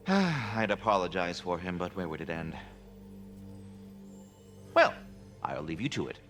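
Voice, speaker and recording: An ancient squirrel caretaker in a fantasy game. The voice is bright and hugely animated, full of florid, formal courtesy and fussing. Faint music sits underneath. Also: male voice, sits low, measured pace, heavily acted. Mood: courteous, wry. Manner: formal, wordy, florid politeness. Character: ancient, genteel, fussy immortal squirrel caretaker of a world tree. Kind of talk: theatrical video game dialogue.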